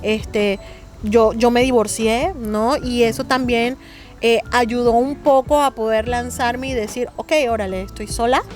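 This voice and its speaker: Brief: middle-aged Colombian woman, Mexican-tinged accent, fairly high voice, hesitant and earnest, soft music underneath. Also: fairly even intonation, a little slow. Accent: Colombian Caribbean with Mexican touches. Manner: earnest, hesitant with frequent fillers. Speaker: interview guest. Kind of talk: casual interview conversation.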